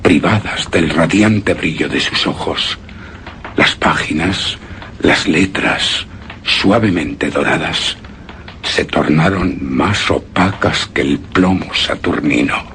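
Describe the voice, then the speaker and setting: An actor playing a grieving, obsessed widower in a suspense and horror radio drama, with a solemn, halting, brooding delivery that drops into whispers. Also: male voice, very deep voice, sharp tone, slight background noise, heavily acted. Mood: mournful. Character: grieving, obsessed widower recounting his beloved's death. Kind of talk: Spanish-language suspense and horror radio drama, theatrical monologue.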